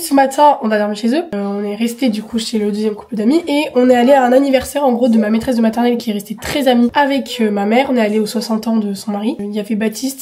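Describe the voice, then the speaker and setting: A young woman vlogging in casual chat, hoarse from a cold, chatty and upbeat with quick asides. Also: fairly high pitch, mellow, slight background noise. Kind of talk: casual vlog chat and sponsor read. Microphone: handheld gimbal camera.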